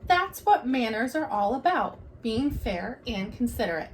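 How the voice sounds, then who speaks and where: Storybook mother bear, read by a woman. Firm, patient, high-pitched, measured pace. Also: big pitch swings, slight background noise.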